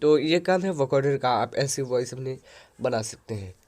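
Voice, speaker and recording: A man hosting a software tutorial, explaining an audio editor step by step into a headset mic. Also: mid-range voice, expressive, moderate pace. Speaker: tutorial host.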